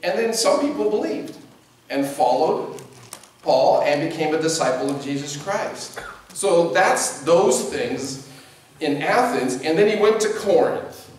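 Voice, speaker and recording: A man in his forties preaches from the pulpit in a relaxed, conversational way, gesturing as he talks. His voice sits fairly high for a man. It is picked up from across a church sanctuary, heavy with echo. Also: measured pace. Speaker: pastor. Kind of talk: sermon. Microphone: distant camera mic. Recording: very echoey church from a distance.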